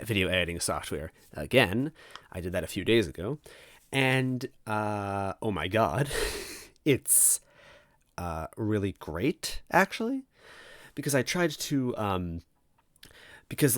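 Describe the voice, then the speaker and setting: A man with a fairly low voice talks casually as the host of his own series. He rambles, hesitates and breaks off his own sentences, in a close, dry recording. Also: no room sound. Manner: hesitant, halting, self-interrupting. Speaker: host of a fan fiction reading series. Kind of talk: casual rambling preamble to listeners.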